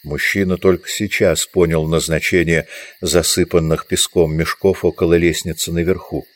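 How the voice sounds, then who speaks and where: A man's voice: low voice, flat delivery, moderate pace. A man reading scripted literary narration as the narrator of an audio drama.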